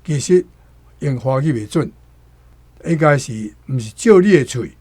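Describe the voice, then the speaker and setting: A man's voice: mid-range voice, expressive, a little slow, a little mellow, slightly rough. A man with a Taiwanese accent explaining things in unscripted discussion, repeating words as he works through a point.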